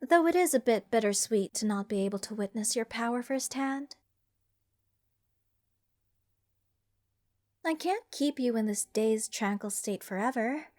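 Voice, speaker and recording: A woman playing an eccentric, curse-loving mage in an ASMR fantasy roleplay, soft and soothing, sweetly rambling with wry endearments. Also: on the high side, animated, moderate pace, close to an everyday voice. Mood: soothing, wistful.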